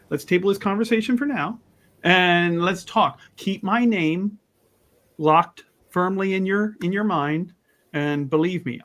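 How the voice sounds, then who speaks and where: A man playing a pompous, condescending adventurer mage in a tabletop game, wordy and often halting mid-sentence. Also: on the high side, a bit bright. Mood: persuasive.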